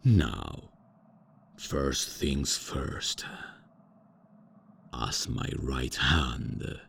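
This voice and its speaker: A man performs an eccentric vampire count, stuck in old ways and full of his own importance. The voice sits extremely low and rough with gravel, and the delivery is unhurried and formal. Grand pronouncements keep getting tripped up by nervous fillers and hasty self-corrections. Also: fairly bright tone, slight background noise, exaggerated voice. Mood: pompous. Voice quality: deep and gravelly. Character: pompous old-fashioned male vampire count, eccentric and out of touch. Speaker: voice actor. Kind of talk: ASMR roleplay audio.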